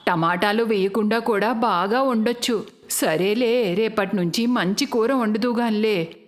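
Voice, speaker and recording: A woman's voice: medium pitch, a little slow, lightly acted. A woman voicing a stingy mother-in-law. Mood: coaxing. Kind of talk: cartoon voice acting.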